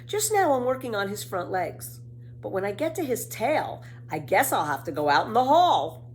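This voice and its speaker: A woman's voice: mid-range voice, sing-song, moderate pace, slight background noise, pitched above the performer's own voice, natural voice. Woman voicing young storybook girl, cheeky, boastful, breezy, emphatic, swooping intonation. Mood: matter-of-fact. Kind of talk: children's storybook read aloud.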